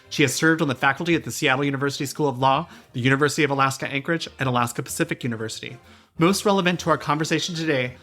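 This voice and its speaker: A man's voice: mid-range voice, a bit bright. A man hosting a podcast, reading the guest introduction and asking direct questions. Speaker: podcast host. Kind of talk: interview hosting.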